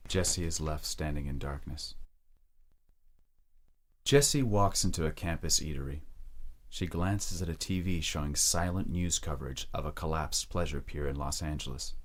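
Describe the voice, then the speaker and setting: A man with a remarkably deep, bass voice reads scripted dramatic narration. He tells the story in the present tense, with measured and deliberate delivery.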